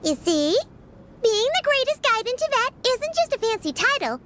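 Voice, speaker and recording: A woman voicing a tiny, eager, childlike female fairy companion in a video game, with a squeaky voice and a proud, chirpy manner. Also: sing-song, moderate pace. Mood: proud, earnest. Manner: eager, refers to self in third person. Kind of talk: video game character dialogue.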